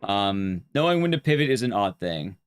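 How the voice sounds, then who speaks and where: A man's voice: lowish voice, big pitch swings, normal speed, smooth. A man streaming a game, chatting casually in a rambling, blunt, conversational way with his viewers. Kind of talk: casual live-stream chat while gaming.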